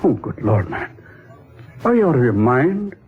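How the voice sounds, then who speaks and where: A man's voice: medium pitch, sing-song, a little slow, husky, heavily acted. An actor playing a blustery, folksy, exasperated male boss in sputtering 1940s radio style. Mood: incredulous, exasperated. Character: blustery, incredulous male boss at a publishing business. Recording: muffled band-limited 1940s radio recording.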